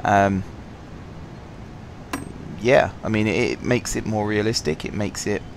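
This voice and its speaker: A lower-pitched man with a sore, slightly husky throat talks through his game on a headset mic. He rambles along in a relaxed, apologetic way, full of ums. Faint game sounds and vehicle hum sit behind him. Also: unhurried. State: sore throat, fighting coughs. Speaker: gaming channel host. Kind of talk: casual let's-play commentary.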